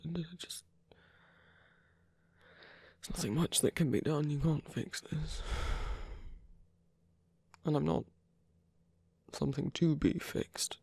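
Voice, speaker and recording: A performer in an intimate ASMR roleplay, playing a proud, flirtatious villain in pain who speaks softly and haltingly, guarded yet tender, in a breathy, gravelly voice. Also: medium pitch, dry recording, exaggerated voice. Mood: defensive, weary. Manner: halting, hesitant, murmured.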